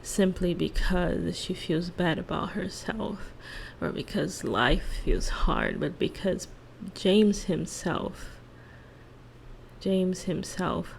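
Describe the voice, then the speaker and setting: A woman speaking softly in an ASMR monologue, hushed and halting, lingering on phrases and at times slipping into a whisper. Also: fairly low pitch, animated, vocal fry, a little hiss or hum, rough recording. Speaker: ASMR creator and host. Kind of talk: soft-spoken ASMR role-play monologue with song analysis.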